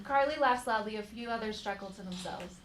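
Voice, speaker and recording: A woman's voice: medium pitch, a little fast. A woman reading stage directions as scripted narration at a live comedy table read. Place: live theater. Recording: echoey theater, clear background noise.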